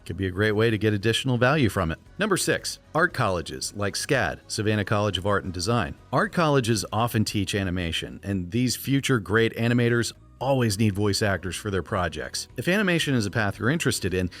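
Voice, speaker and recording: An American man with a trained radio voice, coaching viewers in a clear, emphatic, scripted presentational style. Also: on the low side, animated, brisk, a bit bright, faint music behind. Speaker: voiceover coach and video host. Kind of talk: scripted instructional narration to viewers.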